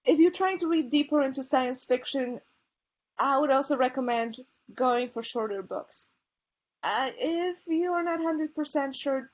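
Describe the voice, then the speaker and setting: A woman with a mixed Polish and Chicago accent presents to a room of peers, recommending books eagerly with many ums and restarts. Her voice sits a little high. The recording sounds dull and lo-fi. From Poland. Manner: enthusiastic book recommendations with frequent fillers. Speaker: librarian presenter. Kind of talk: conference presentation.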